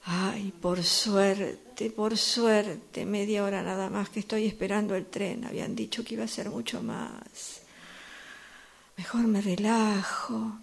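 Radio drama: actress playing reflective female commuter, creaky voice, unhurried, sighing, theatrical inner monologue. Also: mid-range voice, steady tone, heavily acted. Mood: relieved, dreamy. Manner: slow, dramatic musing with sighs. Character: reflective woman waiting for a train, daydreaming about strangers.

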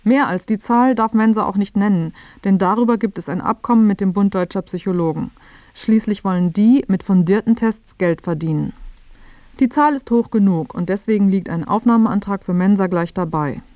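A woman's voice: medium pitch, moderate pace, warm tone, dry recording. A woman reading scripted narration for a radio feature.

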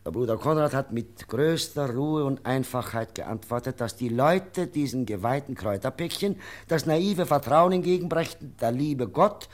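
Actor as measured, fair-minded official. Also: male voice, mid-range voice, natural voice. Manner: deliberate, reasoning, formal. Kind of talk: German radio play acting.

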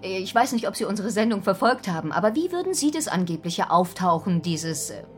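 An actress playing an earnest, slightly flustered news presenter in a German radio drama, speaking formally with hesitant fillers. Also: female voice, mid-range voice, big pitch swings, fairly quick, natural voice. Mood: composed, serious.

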